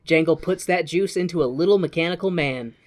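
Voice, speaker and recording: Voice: mid-range voice, fairly quick. A podcast co-host giving a casual, jokey, irreverent retelling of a film.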